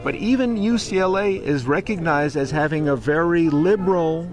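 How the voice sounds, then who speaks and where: A man asking questions off camera in an outdoor street interview, halting and filling pauses with "uh". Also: a little high. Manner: hesitant, halting, many "uh" fillers.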